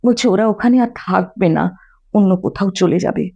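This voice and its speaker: A woman's voice: mid-range voice, moderate pace, creaky, dark tone, slightly rough, lo-fi sound. A woman voicing a troubled, loyal village man, aggrieved and deferential, confiding in dialogue from an audio story. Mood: grave, distressed.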